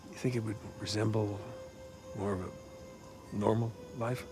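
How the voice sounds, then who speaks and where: An American actor playing a wry, skeptical middle-aged adventurer, the younger brother, in naturalistic video game dialogue. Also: male voice, on the low side, fairly even intonation, a little slow, over music, lightly acted. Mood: playful, musing. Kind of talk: naturalistic cinematic game acting.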